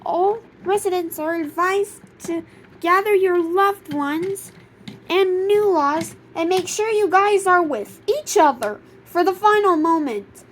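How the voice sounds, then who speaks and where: A woman's voice: very high voice, slight background noise, low-quality recording. A woman reading a scripted emergency broadcast as a formal announcer.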